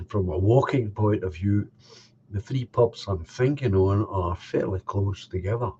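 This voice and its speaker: Older guy, nasal, bit congested, talks real slow, chatty host. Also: on the low side, mellow, dull audio, a little hiss or hum. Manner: unhurried, chatty, answers comments one by one. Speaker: livestream host reading viewer comments. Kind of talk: casual chat.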